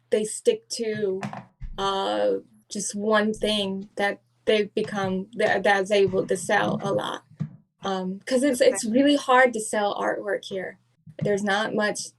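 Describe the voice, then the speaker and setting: Woman telling a lively story. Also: medium pitch, slow pace, creaky. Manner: animated storytelling with fillers. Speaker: guest artist. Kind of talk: casual podcast chat.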